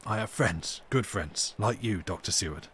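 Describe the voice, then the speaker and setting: A man's voice: lowish voice, moderate pace, a bit bright, lightly acted. A cunning madman acted out by a man reading a storybook holds forth with pompous superiority. He is emphatic and grandiose, an asylum patient sure of his own cleverness. Faint noise sits behind the voice. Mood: sly.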